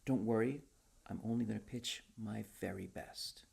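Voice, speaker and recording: A man's voice: on the low side, moderate pace, creaky, darkish tone. Man acting an eager, theatrical wordsmith. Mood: eager pitching. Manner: theatrical, earnest salesman patter. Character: eager, whimsical, talkative wordsmith with odd schemes.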